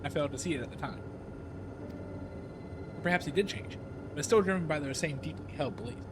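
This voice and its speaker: A man streaming a video game, reading its dialogue aloud in a theatrical, expressive way. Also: on the high side, a little fast, background noise. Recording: loud game music behind voice. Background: loud game music.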